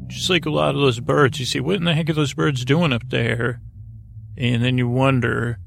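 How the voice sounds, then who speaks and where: Creaky-voiced man rambling for a bedtime podcast. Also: medium pitch, steady tone, a bit bright, a little hiss or hum. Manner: meandering, halting, self-interrupting. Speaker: podcast host.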